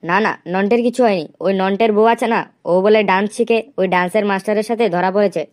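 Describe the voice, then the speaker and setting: A man's voice: high voice, fairly even intonation, fast talker, background noise, lightly acted. A man voicing a gossipy, crude young village lad in a comic cartoon. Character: foul-mouthed young village lad, eager gossiping sidekick.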